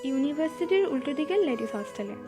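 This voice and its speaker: A woman's voice: sits high, lively intonation, quick pace, over music. A woman acting a hurt, teasing young woman reunited with a close friend in an audio drama. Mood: puzzled. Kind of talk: Bengali audio drama dialogue.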